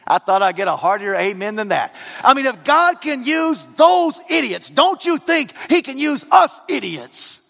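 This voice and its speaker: A man preaching a sermon, swinging from loud excited outbursts to low hushed lines. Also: moderate pace, vocal fry, hardly any pauses. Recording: long reverb of a large hall.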